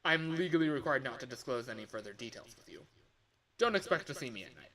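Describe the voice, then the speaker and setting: A man's voice: medium pitch, expressive, fast talker. A man voicing an upbeat, chatty male office worker briefing a night guard in a scripted game monologue. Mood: chatty, cautioning. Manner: loud, exclamatory greetings, instructional. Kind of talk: scripted video game phone-call monologue.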